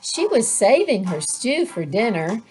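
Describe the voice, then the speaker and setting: A woman's voice: on the high side, very animated, a little slow, darkish tone, faint music behind. A woman reading a children's picture book aloud as a storyteller.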